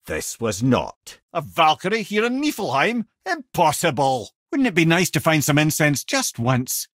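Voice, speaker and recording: An actor playing a wry, learned old severed head in a video game, a storyteller and guide with a husky Scottish voice. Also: male voice, on the high side, huge pitch swings, measured pace, a bit bright, no echo, studio quality, exaggerated voice. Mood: wry, lively. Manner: wry, eloquent, theatrical storyteller. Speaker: companion and guide. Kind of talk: video game character dialogue and lore storytelling.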